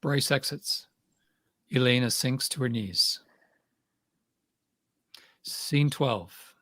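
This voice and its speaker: A man's voice: mid-range voice, extremely slow, fairly bright tone, no room sound. A man reading stage directions as scripted narration for a play.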